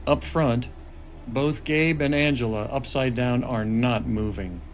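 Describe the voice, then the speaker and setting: A man's voice: medium pitch, measured pace. Man narrating screenplay action, some noise. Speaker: stage-direction narrator. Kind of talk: scripted dramatic narration of a screenplay. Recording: noisy table-read room recording.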